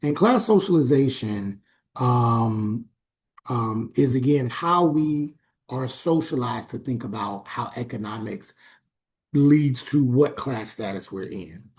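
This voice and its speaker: A man giving a recorded class lecture in a conversational way, often checking in with "right?" and pausing on "um". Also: medium pitch, animated, darkish tone. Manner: conversational, frequent "right?" check-ins and "um" pauses.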